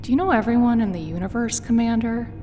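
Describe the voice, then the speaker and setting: A woman voicing a sassy young female mercenary who mocks her commander with drawn-out teasing. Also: on the high side, mellow, long reverb tail, a little hiss or hum, lightly acted.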